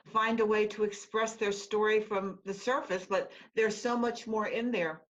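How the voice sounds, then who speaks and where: A woman's voice: mid-range voice, steady tone, normal speed. A woman host with a New Jersey accent chatting over a video call, affirming her guest and laughing often. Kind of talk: casual video chat. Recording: dull, slightly lo-fi call audio.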